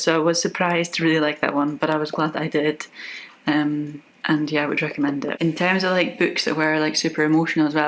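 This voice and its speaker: A young woman book vlogger chatting casually, with many fillers and self-corrections. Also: deep voice. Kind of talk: casual chat about books.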